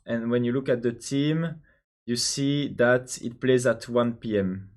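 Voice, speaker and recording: A young man from Belgium with a French accent, thinking out loud while coding, hesitant with many pauses and restarts. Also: medium pitch, fairly even intonation. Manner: hesitant, many ums and restarts, answers chat questions. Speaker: programmer live-streaming his coding.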